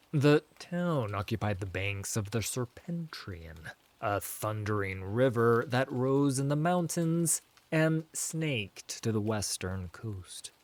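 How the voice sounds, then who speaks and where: A man with a fairly low voice reads a fantasy tale. His scripted narration is very slow and measured, set off by dramatic pauses. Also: slight background noise.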